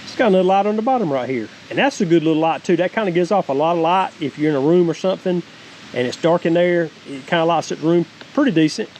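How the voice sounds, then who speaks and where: Middle aged southern guy doin a folksy review, talks quick, kinda higher voice, bit of hiss outside. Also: a little mellow, poor audio. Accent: Southern American. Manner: folksy, plain-spoken, repetitive. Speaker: vlogger reviewing a product. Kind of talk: casual product review. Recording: faint hiss and outdoor noise.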